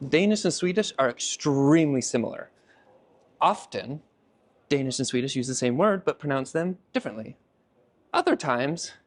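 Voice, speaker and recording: A middle-aged man from Utah telling his story in a lively, playful vlog style. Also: on the high side, no room sound. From United States (Utah). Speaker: vlogger. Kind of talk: casual vlog narration.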